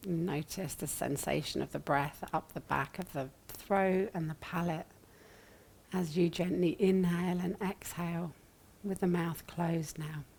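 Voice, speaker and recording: A woman leading a guided meditation as a teacher, speaking gently and soothingly in short phrases with long pauses, her voice soft and at times breathy. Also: fairly low pitch, fairly even intonation. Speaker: yoga nidra meditation teacher.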